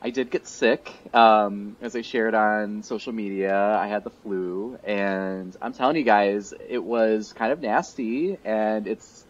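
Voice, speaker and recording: A man's voice: fairly low pitch, unhurried, few pauses. A man in his thirties co-hosting a casual podcast chat over a video call, a little congested, chatty and often laughing. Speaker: podcast co-host. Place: home room, indoors. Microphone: headset on a video call. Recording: muffled lo-fi video call audio.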